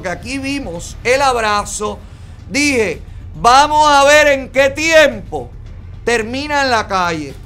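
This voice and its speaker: A man's voice: high-pitched, a bit bright. A middle-aged Cuban man with a Cuban Spanish accent, hosting a gossip commentary show in a studio, emphatic and sarcastic. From Cuba. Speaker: host. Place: bright studio, seated in a red chair.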